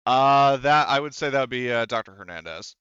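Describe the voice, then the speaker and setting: A man's voice: medium pitch, animated, a little fast, sharp tone, clear, resonant tone, no echo, dull audio. A man improvising casual narration as a tabletop game master, explaining things in a hesitant way.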